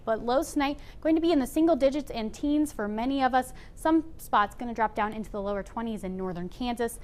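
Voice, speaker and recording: Woman giving a weather forecast, background noise. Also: fairly high pitch.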